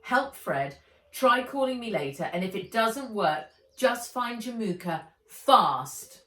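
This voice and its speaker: Storybook role, woman reader: chatty young best friend calling in, excitable and slangy, higher pitched, lively delivery. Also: takes it slowly, roomy sound. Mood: chatty, urgent.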